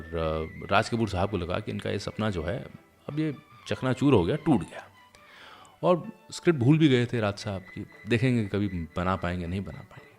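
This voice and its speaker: Low-voiced male storytelling host. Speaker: radio host. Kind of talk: conversational storytelling about old cinema.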